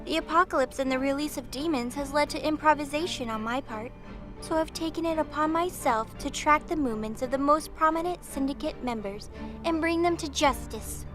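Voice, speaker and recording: Woman as high-voiced, earnest archangel agent. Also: brisk, music in the background, close to an everyday voice. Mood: official, earnest. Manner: earnest, formal, rattles off explanations. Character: earnest, dutiful, sweet young female archangel agent. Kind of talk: audio drama acting.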